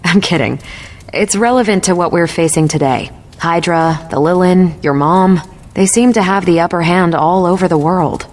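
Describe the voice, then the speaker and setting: A woman's voice: fairly low pitch, moderate pace, darkish tone, lightly acted. An actress playing a confident military superhero woman in a video game, explaining things in an assured, lightly teasing way. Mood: resolute, teasing. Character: confident adult superhero woman, sharp patient military mentor.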